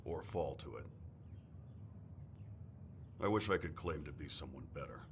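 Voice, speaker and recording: Grave, very deep-voiced mortician. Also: male voice, expressive, unhurried, a little hiss or hum, heavily acted. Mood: weary, resolute. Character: grave older mortician, weary, resolute, haunted survivor. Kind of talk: horror game dialogue.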